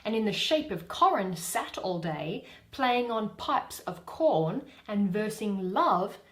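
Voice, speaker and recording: A woman's voice: medium pitch, animated, slow pace, fairly bright tone, reverberant. A woman performing a proud, scornful fairy queen in haughty theatrical verse. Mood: accusing.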